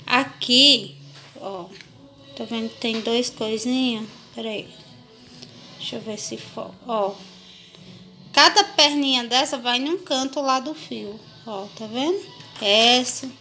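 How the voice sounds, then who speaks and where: A woman's voice: on the high side, takes it slowly, sharp tone, faint music behind. A woman with a Brazilian accent chatting casually to viewers as a home vlogger, informally showing and explaining things as they go. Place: home kitchen, indoors. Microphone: handheld phone or camera, held by the speaker.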